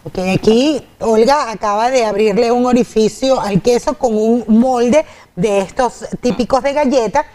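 A woman's voice: a little high, a little slow, dark tone, slight background noise. A woman, hosting a cooking segment on a TV studio kitchen set and speaking straight to viewers. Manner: upbeat, addresses viewers directly. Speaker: TV show host. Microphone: lapel mic.

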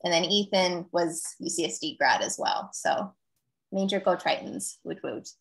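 A young woman from Northern California, a dental student co-chair, hosting an information session on a video call in a friendly, relaxed way. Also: lowish voice, normal speed, creaky, low-quality recording. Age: young adult.

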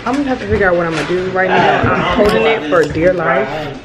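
A woman in her twenties from Virginia vlogging to a handheld camera in a cabin, chatty and relaxed, her voice going hoarse. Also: fairly low pitch, quick pace, over a noisy crowd. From Virginia, USA. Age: 20s. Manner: chatty and relaxed, addresses viewers as y'all. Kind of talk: casual vlog to camera. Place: wooden cabin, indoors. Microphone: handheld camera at arm's length.

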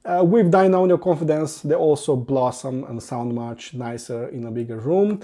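A man presenting a semi-scripted product review as an audio gear reviewer, opening phrases with emphasis and trailing off softer. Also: mid-range voice, normal speed.

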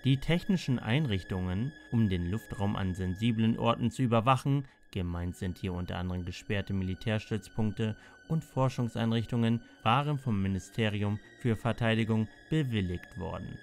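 A man narrating a scripted mystery documentary in a measured way, with dramatic pauses and emphatic short sentences. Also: fairly low pitch, a little mellow. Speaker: narrator of a mystery audio report.

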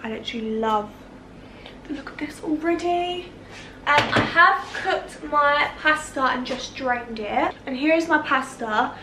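A chatty young woman with a high, bright-sounding voice talks to camera at home, gushing with excitement and lots of fillers. Her voice is caught close on the camera mic, with a faint hum of room noise underneath. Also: a little slow. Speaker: vlogger. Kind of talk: casual cooking vlog. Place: home kitchen and living room, indoors.

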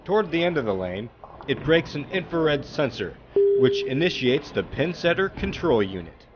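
A man giving a plain, measured voice-over that explains how a machine works. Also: fairly high pitch, very animated. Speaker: narrator. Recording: dull lo-fi sound with clear background noise.

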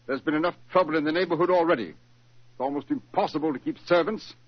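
An actor playing an irascible older British master of the house in a 1940s radio drama, curt and impatient, on a muffled old recording. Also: male voice, fairly high pitch, fast talker. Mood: irritated.

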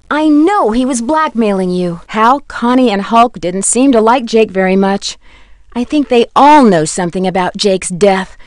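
A woman's voice: on the high side, no room sound, clean studio sound. An actress playing an earnest, inquisitive teenage girl detective in a video game, with a direct manner. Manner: direct, questioning. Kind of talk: scripted game dialogue.

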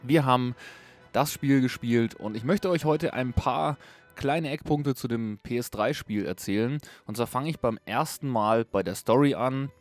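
A man's voice: mid-range voice, normal speed. A man hosting a casual, unscripted video game review in a chatty, informal way. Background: music under a voice-over.

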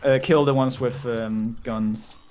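A man's voice: medium pitch, steady tone, slow pace, darkish tone. A man chatting casually and swearing freely while playing a game with friends. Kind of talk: casual gaming chat. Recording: faint background noise on gaming voice chat.

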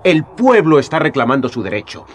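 An actor playing a young, earnest Jesus in a radio drama, talking with passionate urgency. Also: male voice, fairly high pitch, big pitch swings, a little fast, slight background noise. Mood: urging. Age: young adult.

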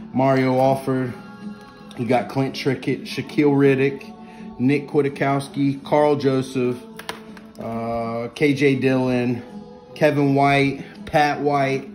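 A man's voice: medium pitch, darkish tone. A young man from West Virginia giving an enthusiastic, rambling tour of his sports fan room at home, filmed on a handheld phone. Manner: enthusiastic, rambling, many fillers. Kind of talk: casual home tour vlog. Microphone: handheld phone camera. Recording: echoey room, faint background ambience.

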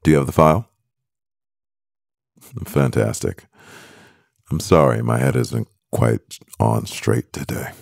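A man acting a composed, doting CEO boyfriend in an ASMR roleplay, speaking in a measured, gravelly voice. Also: darkish tone, no room sound, clean studio sound, exaggerated voice. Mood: composed, businesslike. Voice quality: very deep, gravelly. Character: powerful male CEO boyfriend, composed, doting, gently teasing.

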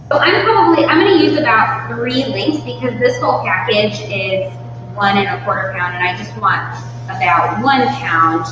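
A woman in her thirties giving an informal, step-by-step cooking demonstration as the host of a live class. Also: medium pitch. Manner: informal, instructional, explains step by step. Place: indoor demonstration kitchen. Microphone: far from the speaker. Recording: distant mic, very echoey room, muffled, hum.

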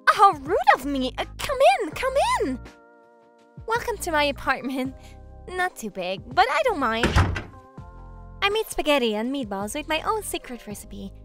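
A woman playing a sweet but sassy, motherly big sister demon in an animated comic dub, coaxing and excitable. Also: sits high, moderate pace, faint music behind, full character voice. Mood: excited.

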